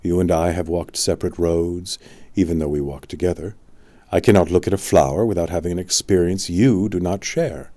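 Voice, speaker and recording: A man voicing a wise, immortal old wizard, a calm mentor teaching in a measured, solemn way in an audiobook. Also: low voice, no echo, lightly acted. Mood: instructive. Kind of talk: audiobook storytelling.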